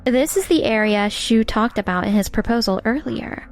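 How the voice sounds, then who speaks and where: A woman's voice: a little high, animated, moderate pace. A woman voicing a careful, perceptive young secretary in an earnest manga dub style. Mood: businesslike. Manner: earnest, professional. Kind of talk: manga dub dialogue acting. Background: faint background music.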